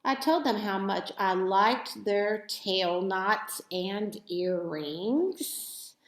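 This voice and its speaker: A woman reading a children's storybook aloud as expressive narration, with dramatic, deliberate phrasing. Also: mid-range voice. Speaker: storybook reader. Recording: echoey room.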